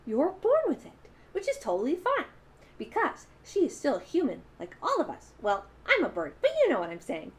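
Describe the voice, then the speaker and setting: A woman's voice: high voice, huge pitch swings, normal speed, pitched above the performer's own voice, heavily acted. A woman voicing a cheerful, friendly, childlike bird puppet, chatting earnestly to children at home.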